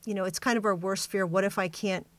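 A woman's voice: mid-range voice, fairly even intonation, fast talker, a bit bright, dry recording. Earnest woman interview host, dry close sound. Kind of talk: reflective conversational interview.

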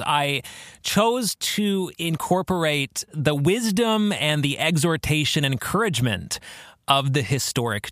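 Slow-paced man with a dry, close sound. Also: fairly high pitch, a bit bright, no echo. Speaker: podcast host, author and minister. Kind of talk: explanatory podcast monologue.

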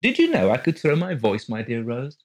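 A man's voice: medium pitch, huge pitch swings, normal speed, darkish tone, pitched above the performer's own voice. A man reading an audiobook, voicing a fat, pettish male puppeteer who talks in a chirpy, wheedling way. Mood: sly. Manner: chirpy, wheedling, then snappish. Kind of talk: audiobook character voice.

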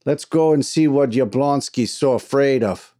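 A man voicing a gruff, loud, hot-tempered mine foreman. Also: mid-range voice, normal speed, voice pushed up, natural voice. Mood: exasperated. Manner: blustering, cursing, exasperated. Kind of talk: dramatized audiobook character.

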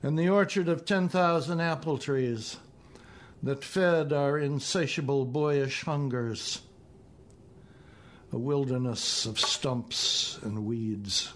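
A man in his seventies with an American accent, reading his own poetry and prose in a deliberate, measured way. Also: mid-range voice, a bit bright. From northeastern United States. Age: 70s. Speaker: poet reading own work.

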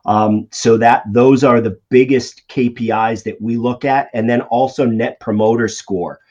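A man's voice: mid-range voice, fairly even intonation, a little slow, darkish tone. A middle-aged man with a Boston accent, a finance executive answering interview questions in a conversational way with many ums and restarts. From Boston area. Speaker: CFO guest. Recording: dull lo-fi audio.

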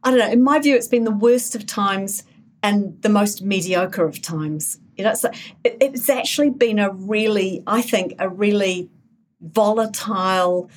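A woman from New Zealand, speaking as a guest on a political talk panel. She is animated and wry, and often restarts her sentences in loose, casual chat. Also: medium pitch, a little slow.

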